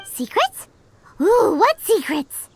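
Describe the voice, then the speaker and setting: Squeaky, very high little fairy girl. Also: sing-song, slow pace, a little hiss or hum, exaggerated voice. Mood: nosy. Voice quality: squeaky, childlike. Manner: perky, exclamatory, bouncy. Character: tiny, childlike floating fairy girl, perky, nosy companion. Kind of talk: anime-style video game voice acting. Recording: loud music behind the voice. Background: playful game background music.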